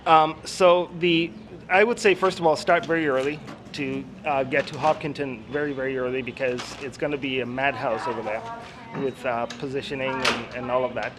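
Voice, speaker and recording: A man's voice: mid-range voice, fairly even intonation, normal speed, noisy background. A man from Kenya, a podcast guest chatting outdoors in a friendly, hesitant way with many fillers. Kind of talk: casual interview chat. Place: outdoors on campus steps.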